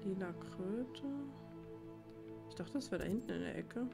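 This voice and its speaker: A woman's voice: medium pitch, unhurried, creaky, over loud music. A woman giving casual gameplay commentary, musing as if thinking aloud to herself. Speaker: let's play gamer.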